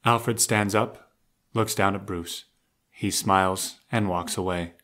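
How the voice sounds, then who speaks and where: Male narrator, scripted audio drama scene description, slightly low register. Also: steady tone, slow pace, fairly bright tone.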